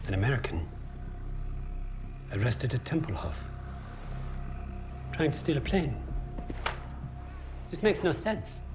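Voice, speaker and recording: An actor playing a menacing, oily Nazi officer in a war video game, coldly polite and mocking, with a German accent. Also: male voice, mid-range voice, sing-song, slow, long pauses, background noise. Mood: anxious. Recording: loud music underneath.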